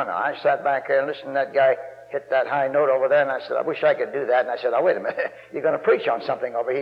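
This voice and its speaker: Gravelly-voiced preacher in a reverberant church. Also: male voice. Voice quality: gravelly bass voice. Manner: folksy and rambling, rising to loud emphatic preaching. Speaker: guest preacher. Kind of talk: sermon. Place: church sanctuary. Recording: muffled recording in a long-reverb church.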